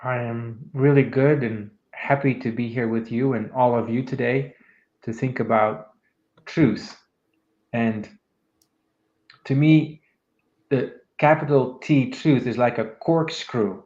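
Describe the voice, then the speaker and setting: A man in his fifties co-hosting a podcast, calmly talking listeners through a reflective exercise. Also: medium pitch, takes it slowly. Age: 50s. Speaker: podcast co-host, leadership coach. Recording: echoey room, dull lo-fi sound.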